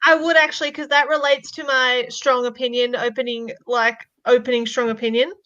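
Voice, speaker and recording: Female podcast co-host, high and bright, playfully mock-serious, casual; slightly lo-fi mic. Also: level tone, a little fast.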